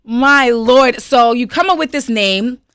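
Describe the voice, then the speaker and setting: A woman's voice: on the high side, measured pace, fairly bright tone, smooth. A woman hosting a radio interview in the studio, asking direct questions with a teasing edge. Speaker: radio host.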